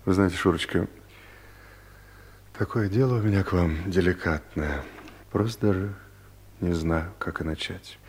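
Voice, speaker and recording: A husky, courteous office boss. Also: male voice, low-pitched, unhurried, long pauses. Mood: delicate, confiding. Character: suave male office boss, familiar and delicately evasive. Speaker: actor in an audio play. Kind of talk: radio comedy drama acting. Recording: 1970s studio radio play recording.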